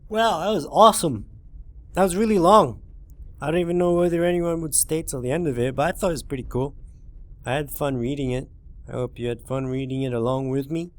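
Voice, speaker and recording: A man's voice: fairly high pitch, a little fast, no echo. A man hosting a let's play, talking casually and informally in a rambling way, searching for words as he signs off. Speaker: let's play host reading a visual novel. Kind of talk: casual video commentary and sign-off. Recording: music behind the voice. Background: game music.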